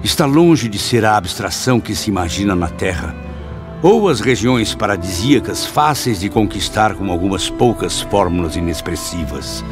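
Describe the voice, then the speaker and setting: A man's voice: lowish voice, expressive, normal speed, close to an everyday voice. An actor playing the anguished spirit of a man who took his own life, narrating a drama in solemn, florid declamation. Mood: grave, reflective. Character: anguished male spirit of a suicide recounting his torment. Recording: voice under loud music.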